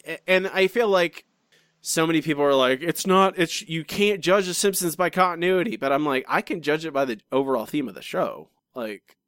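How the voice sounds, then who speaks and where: A man co-hosting a podcast, reading out listener comments in chatty, animated fashion with frequent restarts and asides. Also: a little high, moderate pace, clear, resonant tone, no room sound, studio quality. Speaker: podcast co-host.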